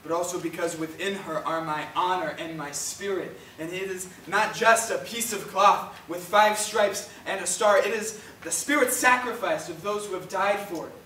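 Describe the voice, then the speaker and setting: A man's voice: high-pitched, normal speed, hardly any pauses, bright, forceful tone. A man acting an elderly, proud Puerto Rican storyteller on stage, speaking in a solemn, declamatory way. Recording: echoey stage, far microphone, faint noise.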